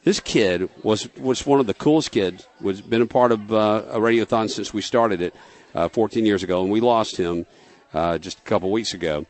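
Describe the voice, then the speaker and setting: A man's voice: on the low side, normal speed, darkish tone. A man hosting a live radio broadcast in casual talk. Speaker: radio host.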